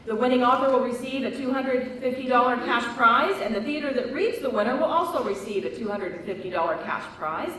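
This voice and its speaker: A woman's voice: on the high side, a little fast, few pauses, a bit bright. A woman hosting a live event, making announcements to open a screenplay reading. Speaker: event host. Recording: echoey hall, distant mic, faint noise.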